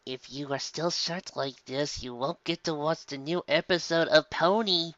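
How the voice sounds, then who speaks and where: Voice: mid-range voice, unhurried, fairly bright tone, dry recording, lo-fi sound, natural voice. A performer voicing a haughty female pony sidekick who refers to themself in the third person. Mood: smug, coaxing.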